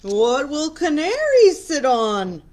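A curious storybook dog asks question after question in a slow, wide-eyed way, as a woman reads the part aloud. The voice sits fairly high and swings through a lively melody, with every question stretched out for young listeners. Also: exaggerated voice.

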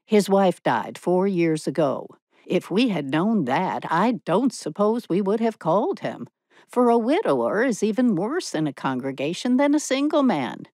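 Woman doing a bitter gossipy old maid in an audiobook, lowish voice that swoops all over. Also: darkish tone, no room sound, studio quality. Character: opinionated gossiping spinster, sharp-tongued.

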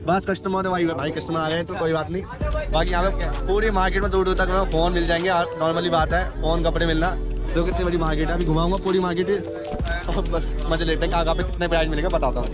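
A man's voice: fairly high pitch, level tone, fast talker. A young man vlogging casually as he walks through a street market, talking to the camera held at arm's length and saying "matlab" often. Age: young adult. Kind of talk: walking market tour vlog. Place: crowded outdoor street market. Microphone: action camera held at arm's length. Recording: loud crowd and music behind. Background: loud crowd and music.

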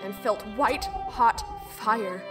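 Actress as young fairy-tale heroine, high voice, bitter and traumatised, intense deliberate audio drama monologue, music underneath. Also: female voice. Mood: grim, intense. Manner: intense, deliberate, emotional storytelling.

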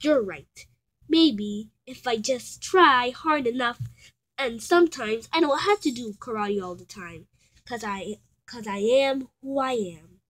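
An eager young karate-student number block, as a woman puts him on in a homemade paper-cutout play. He speaks high and slowly, halting and padding his lines with fillers. It sounds like a tabletop game at home. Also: fairly bright tone, low-quality recording. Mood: earnest. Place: indoors at a tabletop.

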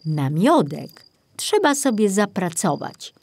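A mild forest ranger in a children's tale, read by a woman. He is composed and measured, slow in pace, recorded close and dry. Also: on the low side, big pitch swings, darkish tone, breathy edge, no echo, full character voice. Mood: calm, firm.

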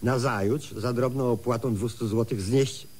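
An actor playing a meek, flustered tenant in a comic radio serial, husky and over-polite, pleading with wry irony. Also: male voice, mid-range voice, fairly even intonation, moderate pace, a little mellow, lightly acted. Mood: plaintive, pleading. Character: meek, harassed tenant, obsequious, flustered, wryly ironic man.

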